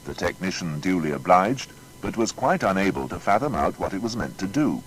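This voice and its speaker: A man narrating a scripted biography as a measured storyteller. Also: low-pitched, very animated, slight background noise, poor audio.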